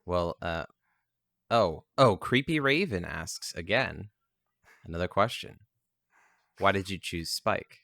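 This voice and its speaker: A man's voice: low voice, animated, slow, gravelly, pauses often, bright, forceful tone, dry recording, clean studio sound. A man chatting casually as a guest in a group of friends. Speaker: guest castmate.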